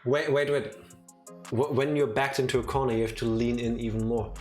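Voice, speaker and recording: Playful, teasing game streamer, casual live commentary, medium pitch, music underneath. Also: fairly even intonation, a little fast, darkish tone. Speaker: streamer playing and reading out a game. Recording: music behind the voice.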